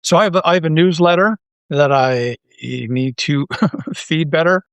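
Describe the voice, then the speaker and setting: A man's voice: a little high, unhurried, smooth, no room sound. A man speaking casually as a podcast guest and creator, self-deprecating and often repeating his words. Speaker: interview guest, audio drama creator.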